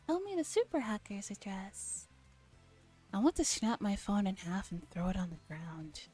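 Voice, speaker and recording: Female game streamer, relaxed mellow tone, playful dialogue read-aloud for ASMR, faint music behind. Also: medium pitch, moderate pace. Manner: playful, acts out dialogue while reading. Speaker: streamer reading a visual novel aloud.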